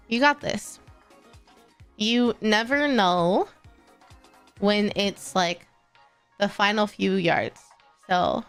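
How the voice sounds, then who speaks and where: A woman's voice: mid-range voice, fairly bright tone, clear, resonant tone. Stuffy-nosed woman streamer chatting. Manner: encouraging, chatty, laughs often. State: stuffy, congested. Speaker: VTuber streamer. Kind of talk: casual livestream chat, reading chat.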